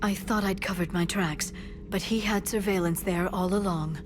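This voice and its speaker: A woman playing a composed, earnest alien scholar and information broker in a video game, speaking in a measured, articulate way. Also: medium pitch, fairly even intonation, music in the background, natural voice. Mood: reflective, measured. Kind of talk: video game character dialogue. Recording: clear ambient noise behind game dialogue.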